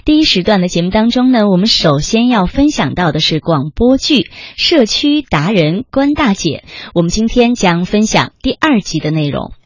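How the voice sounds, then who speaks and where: A woman's voice: mid-range voice, big pitch swings, moderate pace, hardly any pauses, slightly rough, no room sound, highs cut off. A woman presenting a radio programme, reading a scripted introduction.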